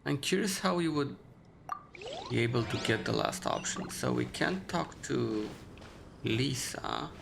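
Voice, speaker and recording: A woman's voice: very deep voice, expressive, measured pace. A woman giving casual let's play commentary as she plays a video game. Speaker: gamer, video game player. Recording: clear noise and game sounds behind.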